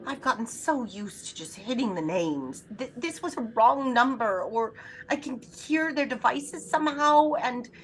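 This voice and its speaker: An actress playing an anxious, imperious invalid wife in a throwback radio thriller, agitated, demanding and melodramatic. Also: female voice, a little high, animated, unhurried, a bit bright, slight background noise, rough recording. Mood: alarmed, flustered. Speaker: lead actress in an audio drama.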